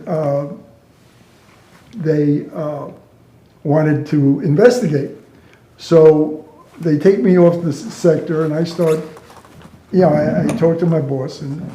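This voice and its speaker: The recording is echoey, and the voice is an older man's, mellow and a little high. He tells work stories casually, like a retired controller, with amusement and quoted back-and-forth. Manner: amused, chuckles through own stories, reenacts dialogue. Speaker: retired air traffic controller telling stories. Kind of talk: casual anecdotal storytelling. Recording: roomy, echoey sound.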